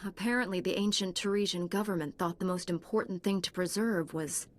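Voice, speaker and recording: A woman's voice: on the low side, brisk, close to an everyday voice. A woman playing a composed and direct knightly heroine in a video game, with controlled delivery. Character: composed, blunt young female Jedi knight. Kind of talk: game character dialogue.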